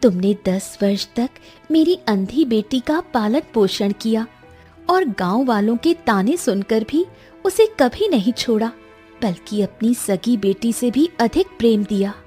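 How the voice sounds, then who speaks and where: A woman plays a mystical forest queen and devoted mother in a children's storybook tale. She speaks slowly, earnest and gentle, with music playing behind her. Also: a little high, expressive. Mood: grateful.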